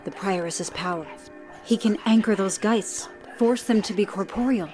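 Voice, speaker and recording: A man voicing a sharp-tongued, shrewd female demon in an audiobook, with a brisk, knowing manner. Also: high voice, soft background music, pitched above the performer's own voice, lightly acted. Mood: observant. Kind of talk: dramatised audiobook character dialogue.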